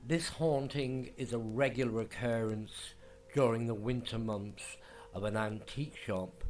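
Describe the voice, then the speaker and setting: A man narrating a true ghost story in a slow, hushed, dramatic scripted delivery. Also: mid-range voice, steady tone. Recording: faint music underneath.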